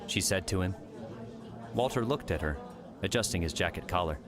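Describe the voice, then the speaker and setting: Deep voiced guy narrating an audiobook story, music playing behind. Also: lively intonation, normal speed.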